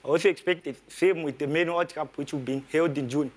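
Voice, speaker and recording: Male contestant, slightly high pitch, confident and chatty. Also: steady tone, brisk, a little mellow.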